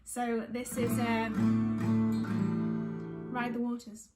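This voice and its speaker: A woman's voice: fairly high pitch, completely flat delivery, slow pace, loud music behind the voice. A woman from North West England, a singer-songwriter talking casually and reflectively. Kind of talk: casual chat between songs.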